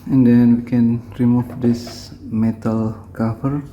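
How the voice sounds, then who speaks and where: A man with an Indonesian accent giving a hands-on repair tutorial in halting, simple phrases. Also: mid-range voice, level tone, warm tone, highs cut off, a little hiss or hum. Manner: halting, short phrases in simple non-native English.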